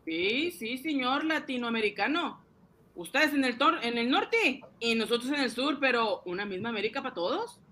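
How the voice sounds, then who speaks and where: A man's voice: very high voice, very animated, moderate pace, bright, forceful tone, a little hiss or hum, exaggerated voice. An actor in a comic radio drama playing an eager, blustering immigrant man of forty who stammers when flustered. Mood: nervous. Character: excitable Latin American immigrant man, forty, eager upstart banker.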